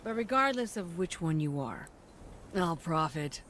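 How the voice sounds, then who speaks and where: An actress playing a sly, warm woman arms trader in a video game, with playful, theatrical phrasing. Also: fairly low pitch, expressive, unhurried, a little hiss or hum. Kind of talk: video game cutscene acting.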